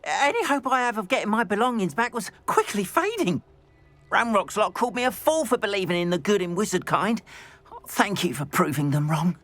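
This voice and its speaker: An actor voicing a gentle, emotional goblin merchant in a video game, earnest and effusive. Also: medium pitch, expressive, a little fast, soft background music, lightly acted. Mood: moved, relieved. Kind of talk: acted video game dialogue.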